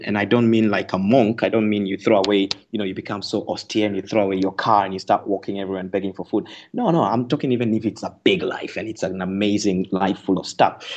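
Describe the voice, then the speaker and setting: Low-voiced man from Kenya, muffled line. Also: a little mellow. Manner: thoughtful, explanatory, frequent fillers and restarts, occasional laughs. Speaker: author guest. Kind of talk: radio interview conversation.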